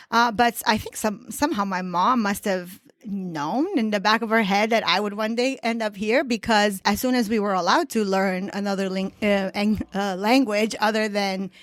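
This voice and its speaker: A woman's voice: mid-range voice, moderate pace, dry recording, clean studio sound. A woman in her thirties from Romania with a Romanian accent, chatting as a podcast guest, laughing often and talking with many fillers and restarts. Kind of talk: casual interview chat.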